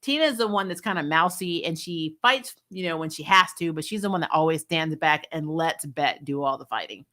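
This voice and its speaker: Bright-voiced woman, blunt casual reviewer. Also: low voice, brisk, hardly any pauses. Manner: animated, blunt, quotes others in acted asides. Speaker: host of a TV episode review show. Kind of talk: casual unscripted review chat with viewer comments.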